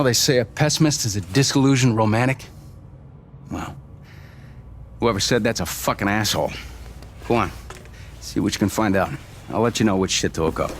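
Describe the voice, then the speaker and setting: An actor playing a blunt, cynical middle-aged American engineer in a video game, grumbling and swearing. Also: male voice, on the low side, a little hiss or hum. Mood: bitter, weary. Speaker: game character. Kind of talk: video game cutscene dialogue.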